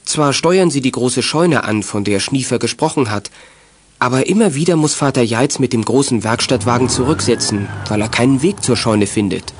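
A man's voice: mid-range voice, expressive, normal speed, a little hiss or hum, close to an everyday voice. A man acting as the narrator of a children's adventure radio play, reading scripted dramatic narration. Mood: suspenseful. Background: music.

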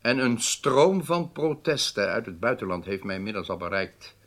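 A man's voice: fairly low pitch, normal speed, fairly bright tone. Stern, commanding statesman. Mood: indignant. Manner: formal, weighty, emphatic, commanding. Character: stern, indignant male head of government. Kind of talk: radio drama acting.

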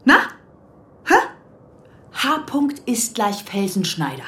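A woman's voice: mid-range voice, big pitch swings, takes it slowly, a bit bright, echoey room, a little hiss or hum. A woman playing a cheeky, persistent young police detective assistant in a crime radio drama. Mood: provocative, probing. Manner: provocative, pointed questioning.

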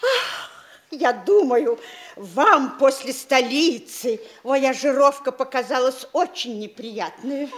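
High-pitched simpering provincial wife. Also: female voice, expressive, slow pace, a bit bright, full character voice. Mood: simpering, flattered. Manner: simpering, mannered politeness. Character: coquettish provincial official's wife, fawning and genteel. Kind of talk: theatrical classic stage comedy acting.

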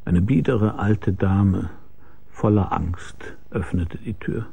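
Hardboiled detective, dark husky voice, laconic, dry, radio drama narration. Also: male voice, lowish voice, slow pace, lo-fi sound, full character voice. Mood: wry, reflective. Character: laconic, world-weary private detective telling his own case. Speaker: first-person narrator and lead.

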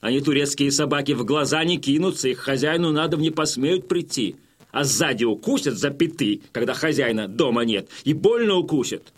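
An actor playing a wily Cossack chieftain who addresses his warriors with measured, folksy, feigned humility in an audio drama. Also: medium pitch, expressive, natural voice. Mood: deferential, persuasive. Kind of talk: theatrical audio drama oratory.

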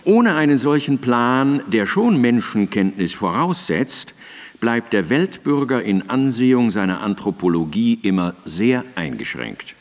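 An actor playing a learned male philosopher in a German radio drama, reciting in a deliberate, formal, lecturing manner. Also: medium pitch, very animated, long reverb tail. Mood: measured, didactic. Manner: deliberate, formal, lecturing delivery of long sentences. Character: learned male philosopher Immanuel Kant, measured and didactic.

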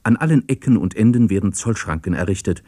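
Mellow, husky man narrating. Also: lowish voice, expressive, no echo, studio quality. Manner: measured, formal. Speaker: announcer summarising the story. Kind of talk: scripted narration.

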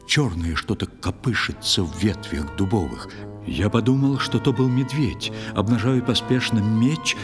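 A man's voice: fairly low pitch, unhurried, long reverb tail, close to an everyday voice. An actor playing a proud, ardent young knight who tells his adventures in dramatic verse for a children's audio play. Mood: dramatic suspense. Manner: dramatic, expressive declamation. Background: faint music.

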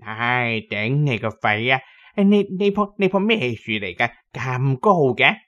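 A man's voice: medium pitch, big pitch swings, unhurried, fairly bright tone, muffled recording. Man acting a chubby, worn-out grumbling young man. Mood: breathless griping. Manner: sighing, stammering, complaining.

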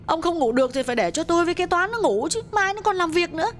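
A man voicing a grumbling, sharp-tongued middle-aged wife who scolds her husband in quick bursts. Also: extremely high pitch, sing-song, pitched above the performer's own voice, full character voice. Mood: scolding. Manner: scolding, rapid, exasperated nagging.

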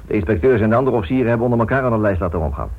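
A man's voice: lowish voice, animated, racing, breathy edge. A man playing a fussy, evasive middle-aged senior police inspector in a radio drama, hesitant, placating and a bit grumbly. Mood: grumbling, uneasy. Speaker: actor in a radio play. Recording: muffled, narrow-band old radio recording.

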